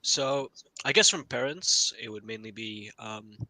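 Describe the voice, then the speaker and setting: A young man with a bright, sharp tone answers a panel question in a dry, close recording. He gropes for words, restarting and filling pauses. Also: lowish voice, lively intonation, no room sound, poor audio. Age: young adult. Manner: hesitant, many fillers and restarts. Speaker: college student panelist. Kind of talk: casual podcast panel answer.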